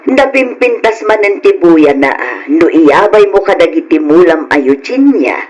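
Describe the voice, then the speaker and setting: An actor playing an affectionate older parent in a radio drama, chatting fondly with an Ilocano accent. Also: male voice, on the high side, sing-song, measured pace, poor audio. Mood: cheerful, doting. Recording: old radio drama, faint noise.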